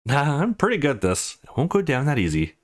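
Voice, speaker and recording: Man reading as an easygoing, very expressive teasing friend. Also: medium pitch, a little fast, voice pushed up. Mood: playfully doubtful. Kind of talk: visual novel dialogue read aloud.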